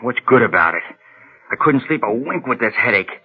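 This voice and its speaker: An actor playing an earnest, clean-living political candidate pained by headaches, speaking hesitantly with a sincere stammer in a radio drama. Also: male voice, medium pitch, expressive, brisk, slightly rough. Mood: pained, irritable. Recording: muffled lo-fi radio recording.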